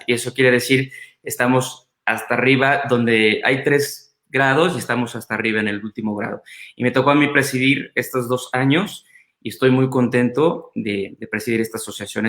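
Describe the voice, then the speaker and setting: A Mexican man with a Mexican Spanish accent, talking as a panelist in a casual online chat, measured and repeating words as he searches for them. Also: medium pitch, a bit bright, roomy sound. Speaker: doctor and panelist.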